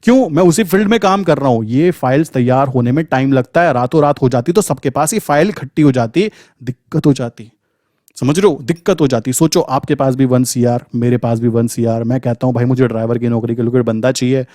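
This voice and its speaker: A man's voice: medium pitch, a little fast, warm tone, no echo, clean studio sound. A man with an Indian accent giving an informal motivational trading lesson, speaking emphatically and colloquially with repeated phrases and rhetorical questions. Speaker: trading coach and channel host.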